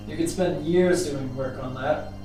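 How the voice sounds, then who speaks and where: A man's voice: a little high, takes it slowly. A man playing a sardonic, sceptical scientist in a radio drama. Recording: very echoey, distant, music behind.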